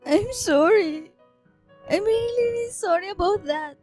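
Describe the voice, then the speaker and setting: Caring, emotional young Filipina in a radio drama, high voice, light music underneath. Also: female voice, animated, a little slow, darkish tone. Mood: upset. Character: emotional, caring young woman confiding in friends. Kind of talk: radio drama dialogue, Taglish.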